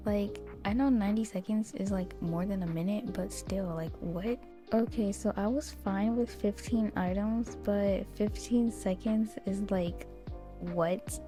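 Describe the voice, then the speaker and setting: A woman's voice: mid-range voice, unhurried, over music. A young woman giving casual, chatty commentary over a video game as host.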